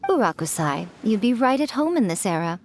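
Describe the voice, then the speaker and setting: A woman's voice: mid-range voice, sing-song, fairly quick, darkish tone, a little hiss or hum. A woman voicing a sly, teasing fox-spirit shrine priestess in a video game, mocking and amused. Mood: flattering.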